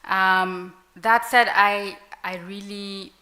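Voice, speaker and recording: A woman whose native tongue is Arabic talks in a clear, bright voice at mid pitch. She sounds reflective and circles her thoughts, with frequent fillers and restarts. She is a panelist at a live literary event. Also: fairly even intonation, very slow. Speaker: panelist author.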